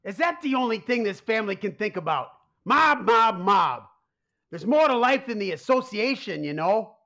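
A man's voice: high-pitched, sing-song, normal speed, pushed and bright, full character voice. A man playing an anxious, exasperated mob boss and father in a comedic radio play. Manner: exasperated, blustering. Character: harried, anxious mob boss father who secretly runs pizzeria.

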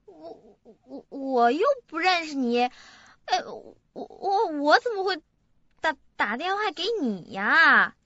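A woman's voice: sits high, animated, slow, bright, forceful tone, no echo, heavily acted. An actress playing a frightened, evasive married woman in a radio drama, anxious and stammering. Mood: nervous denial. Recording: dull lo-fi audio, faint music.

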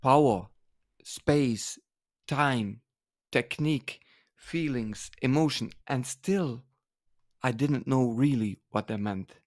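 A man with a Dutch accent, a dance expert co-hosting a podcast, who speaks haltingly and searches for words in English. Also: medium pitch, no echo, clean studio sound. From Netherlands. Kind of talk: casual conversation.

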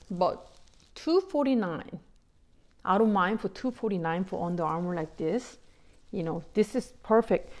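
A middle-aged woman, not a native English speaker, excitedly chatting to viewers about bargain clothes in simple English with many "you know"s. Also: mid-range voice. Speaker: vlogger showing a shopping haul. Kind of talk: casual chat to viewers.

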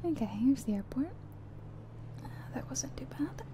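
A soft-spoken woman doing an ASMR roleplay as a friendly, chatty taxi driver, in a gentle, whispery delivery. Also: sits high, expressive, normal speed. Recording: faint background noise of game car sounds. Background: car sounds from a driving game.

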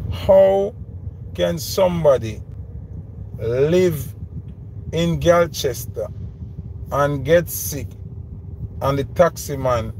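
A man's voice: medium pitch, gravelly. A man in his thirties with a Jamaican accent, giving emphatic commentary from inside a car, repeating his questions and mixing Patois with English. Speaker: vlogger. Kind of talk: ranting commentary. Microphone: phone camera held close. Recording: noisy car interior.

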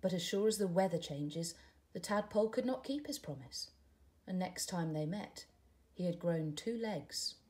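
A woman, a teacher reading a picture book aloud at home with expressive, gentle storytelling. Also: lowish voice, normal speed. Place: living room at home, indoors.

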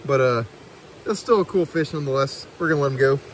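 A man's voice: mid-range voice, fast, slight background noise, poor audio. A young man narrating his fishing outdoors by a mountain creek, chatty and enthusiastic, recorded on a body-worn action camera. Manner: enthusiastic, chatty, filler-laden. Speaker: fishing vlogger.

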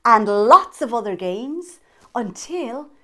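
A woman's voice: fairly high pitch, big pitch swings, slow, a bit bright. A woman in her thirties hosting storytime, reading a picture book aloud expressively to young children with dramatic emphasis.

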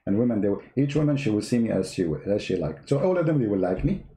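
Man, english not first language, mocking, sarcastic, mellow tone, roomy sound. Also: on the low side, sing-song, fast, a little hiss or hum. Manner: sarcastic, mocking, repeats words. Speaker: commentator. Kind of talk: mocking commentary over clips.

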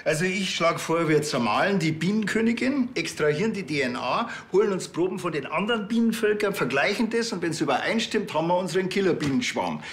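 An actor playing a blunt, unflappable Bavarian policeman in a comedy series, deadpan and laconic with a Bavarian accent. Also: high-pitched, fairly quick, few pauses, a little hiss or hum. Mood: dry. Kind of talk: naturalistic comedic screen acting.

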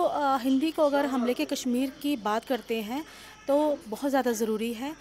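A woman's voice: a little high, darkish tone, slight background noise. Woman giving a press interview. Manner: measured, with frequent "uh" pauses. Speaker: education department official. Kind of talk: news interview. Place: indoor hallway of an auditorium. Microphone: bunched press microphones.

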